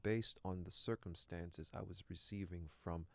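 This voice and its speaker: A man's voice: deep voice, steady tone, normal speed, vocal fry, fairly bright tone. A man from Canada whose first language is French, a guest actor speaking earnestly in an interview, often repeating words as he searches for them. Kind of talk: reflective interview talk.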